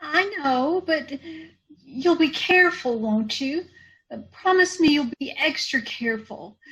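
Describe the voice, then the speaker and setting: A woman's voice: sits high, expressive, measured pace, fairly bright tone, low-quality recording. A woman acting a worried, doting mother of a grown son in an old-time radio drama, pleading and hesitant.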